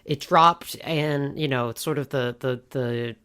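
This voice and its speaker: A podcast co-host with a medium-pitched voice takes part in a casual fan discussion. The delivery is conversational and unscripted. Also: measured pace, a bit bright, smooth.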